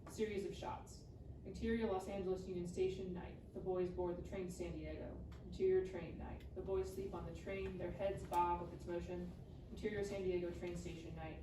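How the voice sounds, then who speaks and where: A woman's voice: lowish voice, steady tone, brisk. A woman narrating stage directions from a script at a live table read. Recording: roomy, distant mic, clearly noisy.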